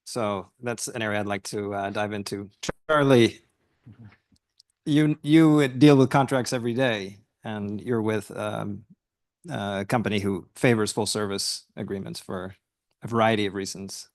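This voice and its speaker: A man's voice: fairly low pitch, a little slow. A man guiding a panel discussion at an industry forum. He poses questions in a curious, mildly wry way and hands the floor to the next speaker. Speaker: panel moderator.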